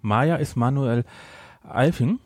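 A man's voice: mid-range voice, slow pace, a little mellow, dry recording. Middle-aged man, bantering podcast host, dry sound. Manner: bantering, interrupting. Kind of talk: casual chat.

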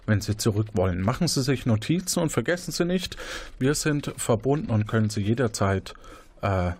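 A man's voice: mid-range voice, lively intonation, normal speed, darkish tone, dry recording. A man narrating a tabletop mystery game as game master, hesitating often with fillers and restarts.

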